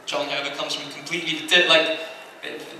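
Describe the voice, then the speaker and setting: A man's voice: on the high side, level tone, fairly quick, bright, forceful tone. A man giving an informal, self-deprecating, rambling talk as a guest on a convention panel. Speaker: anime acquisitions head, panel guest. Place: large convention panel room. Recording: roomy echo, distant mic, background noise.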